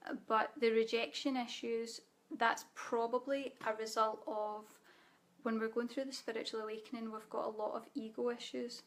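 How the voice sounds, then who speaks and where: A Scottish woman leading a live online spiritual training, speaking softly and calmly, guiding listeners with frequent ums. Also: fairly high pitch, moderate pace. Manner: soft guided-meditation delivery with fillers. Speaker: spiritual teacher.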